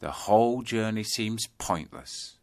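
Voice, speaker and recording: A man narrating a scripted guided yoga nidra meditation, telling a journey in measured second-person storytelling. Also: fairly low pitch.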